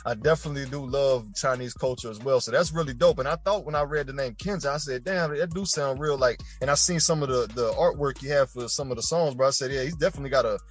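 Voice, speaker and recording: An American man hosts a casual podcast chat, talking fast and loose. He throws "bro" and "dog" into nearly every line and swears without a second thought. His pitch sits in the middle, with faint music underneath. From United States. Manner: slangy, peppers talk with "bro" and "dog", swears freely. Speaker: podcast host.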